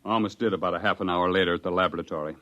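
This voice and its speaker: A man's voice: deep voice, steady tone, rapid-fire, a bit bright, muffled recording. An actor playing an indignant, wry research scientist who tells his own story with sardonic emphasis in a 1950s radio drama. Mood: wry narration. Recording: vintage radio recording, faint music.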